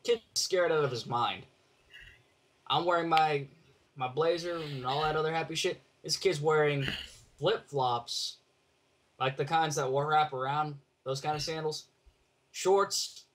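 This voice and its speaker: A man telling a casual story over an online voice call, blunt and sweary, in halting phrases. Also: fairly high pitch, fairly bright tone. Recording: noisy online call with clicks.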